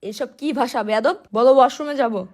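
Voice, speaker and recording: A woman's voice: a little high, fast talker, background noise, close to an everyday voice. A woman playing a strict, bossy female primary school teacher in a cartoon, with music under her voice. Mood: stern scolding. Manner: bossy, instructing children. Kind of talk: cartoon dialogue.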